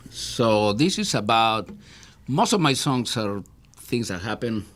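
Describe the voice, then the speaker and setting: A singer-songwriter from Argentina with an Argentine accent, chatting easily and joking as a guest in a radio studio. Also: male voice, fairly low pitch, slow. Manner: relaxed, jokey storytelling. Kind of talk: live studio performance and chat.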